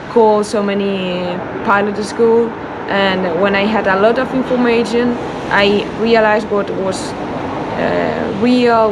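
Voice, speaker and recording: A woman with a Spanish accent answering interview questions, haltingly searching for her words in English. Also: mid-range voice, background noise. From Spain. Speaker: air traffic controller being interviewed. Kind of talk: unscripted interview.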